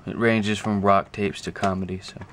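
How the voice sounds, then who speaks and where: A man giving a casual, unscripted show-and-tell to a camera, talking haltingly and thinking aloud as he goes. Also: on the low side, level tone. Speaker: video creator showing a music collection. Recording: clear background noise, amateur camera audio.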